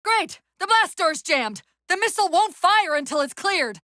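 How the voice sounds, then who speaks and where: A woman playing a brisk, wry, urgent military AI companion in a video game, giving crisp commands and tactical briefings. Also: very high-pitched, animated, bright, forceful tone, no echo, clean studio sound, full character voice. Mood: urgent, alarmed.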